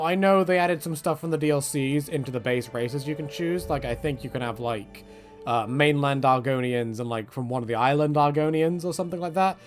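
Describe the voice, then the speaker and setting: A man giving casual, opinionated video game commentary in a rambling, joking, self-deprecating and slangy way. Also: on the high side. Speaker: gaming video creator.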